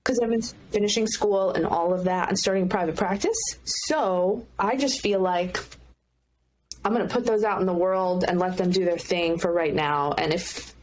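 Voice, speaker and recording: A woman's voice: on the low side, moderate pace, darkish tone. A woman giving candid, reflective answers as a guest in a casual interview, often qualifying what she says. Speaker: podcast guest, children's book author. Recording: clear background noise, mouth clicks.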